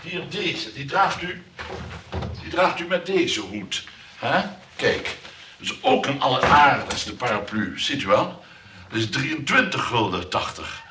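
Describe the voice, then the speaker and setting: A man's voice: mid-range voice, big pitch swings, a little slow. Fussy, flustered salesman, noisy old recording. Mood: eager, polite. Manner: stammering, repetitive, overly polite patter. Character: fussy, flustered umbrella shop salesman, eager but clumsy. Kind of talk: comic radio play acting.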